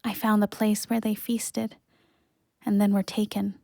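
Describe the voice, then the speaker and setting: A woman's voice: medium pitch, flat delivery, unhurried, creaky, warm tone, no echo, studio quality. A woman playing a resolute, grieving but hopeful young woman in an audio play, earnest and plain-spoken. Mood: sombre, remembering.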